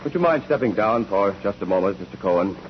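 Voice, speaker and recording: On a dull, slightly noisy old radio recording, a low-voiced male examiner played by an actor presses witnesses with formal, deliberate questions. His delivery is animated, in the style of 1940s courtroom drama. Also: lightly acted. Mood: pressing.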